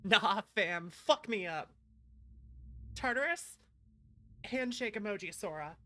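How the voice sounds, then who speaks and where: A woman's voice: mid-range voice, lively intonation, slow, pushed and bright, slight background noise. A woman doing casual livestream game commentary, playful and slangy, swearing and bantering with her chat. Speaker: streamer, virtual YouTuber.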